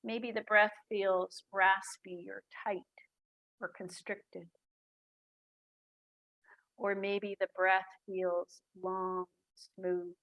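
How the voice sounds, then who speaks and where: A woman guiding a breathing meditation in a soft, calm voice with long pauses. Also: mid-range voice, muffled recording. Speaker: meditation guide. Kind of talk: guided meditation.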